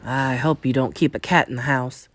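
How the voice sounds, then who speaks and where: A woman voicing a calm, wry old Norwegian grandmother in a storybook, matter-of-fact and a little mischievous. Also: rumbling low, normal speed, no room sound, faint music behind, voice pushed down.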